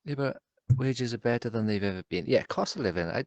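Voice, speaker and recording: A man's voice: medium pitch, animated, fast, no echo. A man co-hosting a podcast in casual chat, contrary and matter-of-fact as he teases his co-host.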